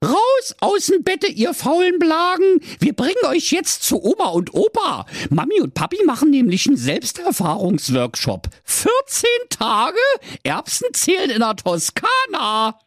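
A man's voice: very high-pitched, wildly swooping pitch, a little slow, no echo, studio quality, pitched above the performer's own voice, heavily acted. A man voicing a scheming, heartless mother in a fairy tale, bossy and coarse, with a Berlin accent. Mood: bossy, scolding. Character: shrill, scheming, heartless mother of two teenagers.

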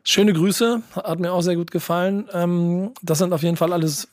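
A man's voice: sits high, steady tone, moderate pace, a little mellow, no echo, clean studio sound. A man in his forties hosting a casual music talk, informal and often repeating the start of his phrases. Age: 40s. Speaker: talk show host.

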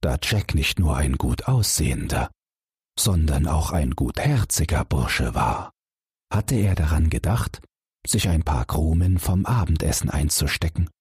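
A man calmly reading a fairy tale aloud as a bedtime audiobook narrator. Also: extremely low pitch, unhurried, breathy edge, no room sound, studio quality. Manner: calm, expressive storytelling.

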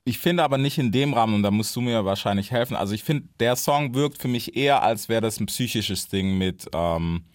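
A young male podcast host with a fairly low voice and a loose, easygoing delivery. He likes to sprinkle English slang into his talk. The sound is dry and close, with no room echo. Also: lively intonation, a little fast, hardly any pauses. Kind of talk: casual interview chat.

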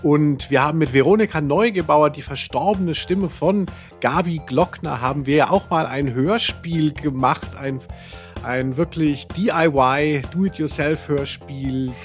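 A man hosting a podcast in casual chat, rambling in a halting, wry way. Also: fairly high pitch, soft background music. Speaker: podcast host.

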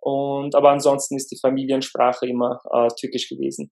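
A man from Lower Austria, a speech therapist talking as a podcast guest, pausing often with fillers; his is Turkish. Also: vocal fry. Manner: hesitant, many fillers, relaxed. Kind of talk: conversational interview.

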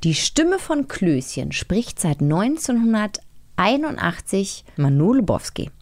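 A woman's voice: mid-range voice, very animated, slow pace, a little mellow. A woman co-hosting a podcast in casual, playful, colloquial chat. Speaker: podcast co-host.

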